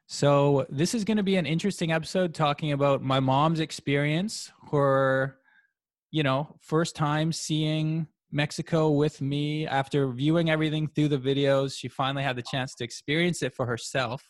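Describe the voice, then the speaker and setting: A man's voice: a little high, steady tone, normal speed, no room sound, studio quality. Young man hosting a podcast. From Canada. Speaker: podcast host. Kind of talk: casual podcast interview.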